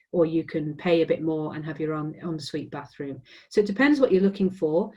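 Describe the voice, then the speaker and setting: A woman's voice: deep voice, mellow. A woman with an English accent, a university admissions representative giving an informational presentation with frequent ums and restarts. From England.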